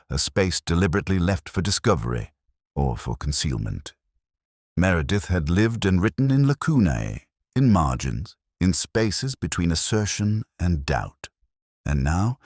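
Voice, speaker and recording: A man playing a melancholy middle-aged police inspector, narrating his mystery in measured, solemn, literary prose. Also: extremely low pitch, dry recording, studio quality, heavily acted. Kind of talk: scripted first-person literary mystery narration.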